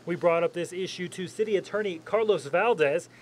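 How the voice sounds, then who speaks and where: Male, news reporter, high-pitched voice, scripted report narration. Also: fairly quick, slight background noise.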